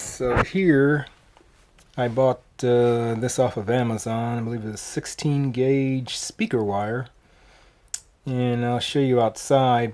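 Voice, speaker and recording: A man hosting a hobby video in a casual, unscripted way, talking hesitantly with frequent pauses. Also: mid-range voice, darkish tone. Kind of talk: casual unscripted show-and-tell. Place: at home indoors, later outside in a yard. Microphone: handheld phone.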